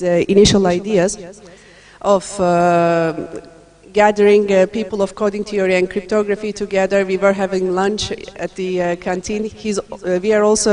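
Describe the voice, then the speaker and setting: A woman from Turkey giving a university lecture, talking in a friendly way with many fillers and pauses. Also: mid-range voice, darkish tone.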